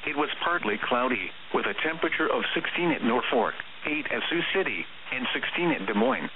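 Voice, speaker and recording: Robotic guy doing a weather radio forecast, formal and measured, tinny with some hiss. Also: medium pitch, lively intonation. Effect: weather radio broadcast. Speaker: automated weather radio announcer. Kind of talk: scripted weather forecast bulletin. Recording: hissy, narrowband radio reception.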